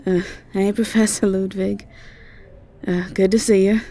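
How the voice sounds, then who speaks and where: Slow-talking, sweet Southern ditzy college girl, acted by a woman. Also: medium pitch, little pitch movement, warm tone, natural voice. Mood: sweet, eager. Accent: Southern American. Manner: hesitant, stammering, airy chatter. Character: sweet, flustered, ditzy young siren student. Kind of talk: roleplay audio drama acting.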